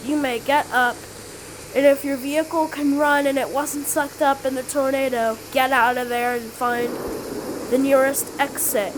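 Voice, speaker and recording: A woman with a high voice plays an emergency alert announcer in an amateur improvised scenario. Her delivery is halting and repeats itself. The home recording carries clear noise.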